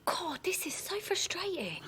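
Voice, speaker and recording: An earnest mum from a small town in a radio drama. Her pitch moves about a lot and her talk is chatty and unpolished. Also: huge pitch swings, measured pace, full character voice. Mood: frustrated. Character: Linda, earnest, plain-spoken small-town English mum turned protester. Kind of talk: naturalistic audio drama, dialogue and narration.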